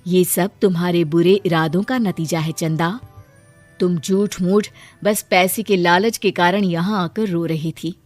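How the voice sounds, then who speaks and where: A woman's voice: on the low side, fairly even intonation, a little slow, darkish tone, dry recording, faint music behind. A woman voicing a kind little fairy who lives in a tree, soothing and motherly. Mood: stern.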